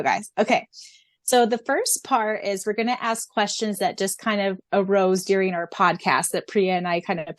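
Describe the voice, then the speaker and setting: A gushing, excitable woman co-hosts a fan podcast, laughing often as she reads the answers. Her voice sits at a middle pitch. It is recorded close and dry. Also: dry recording. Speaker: podcast co-host. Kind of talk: casual fan podcast chat.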